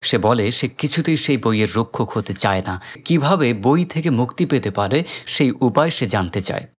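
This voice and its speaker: A man's voice: mid-range voice, lively intonation, normal speed, slightly rough. A man with a Bangladeshi accent narrates a film recap as scripted storytelling.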